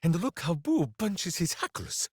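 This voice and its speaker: A man's voice: sits high, sing-song, unhurried, husky, dry recording, clean studio sound, full character voice. A man voicing a big-hearted, simple-minded heroic warrior in a video game, bombastic and earnest, speaking of himself in the third person. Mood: earnest, puzzled. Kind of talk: video game character voice acting.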